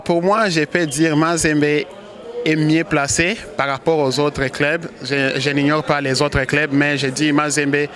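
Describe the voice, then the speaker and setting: A man from Congo, a football manager, answering interview questions in a halting way with many restarts and pauses. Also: on the high side, little pitch movement, over music. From Congo (DRC).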